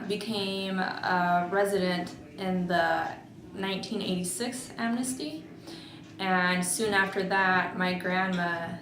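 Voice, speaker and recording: A woman in her twenties talks slowly and deliberately, pausing often as she gathers her thoughts. She is recorded in an echoey room. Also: fairly low pitch, background noise. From Mexico, raised in Oregon. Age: 20s. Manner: slow and thoughtful, with frequent pauses and fillers. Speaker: interviewee. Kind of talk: oral history interview.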